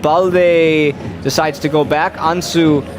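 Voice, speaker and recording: A man doing casual live play-by-play commentary of a match, quick and joking as he calls the action. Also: on the high side, steady tone, faint music behind.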